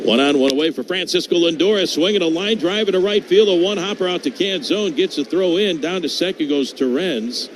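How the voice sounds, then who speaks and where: A man's voice: high voice, brisk, few pauses. Male baseball announcer over ballpark noise. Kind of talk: live radio sports commentary. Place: open-air ballpark broadcast booth. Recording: clear outdoor ballpark noise behind.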